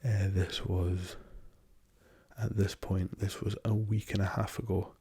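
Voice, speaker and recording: A young man with a hoarse, raspy voice from a lingering cough, talking softly and hesitantly as an ASMR host, slipping into whispers. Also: sits low, level tone. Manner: soft-spoken, slow and hesitant with many ums, drifting into whispers. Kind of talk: soft-spoken ASMR travel chat.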